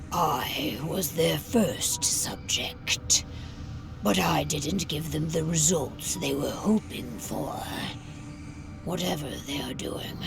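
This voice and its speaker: A woman playing a proud, vengeful captive werewolf pack leader in a video game, grave and deliberate, in a breathy half-whisper. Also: sits low, heavily acted. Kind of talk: dramatic video game acting. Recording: music behind the voice.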